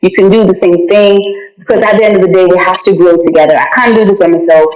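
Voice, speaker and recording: A woman's voice: on the low side, fairly even intonation, fast talker, a little mellow. A woman from Malawi, a podcast guest talking about her music program in an upbeat, encouraging way. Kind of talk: podcast conversation. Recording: roomy echo.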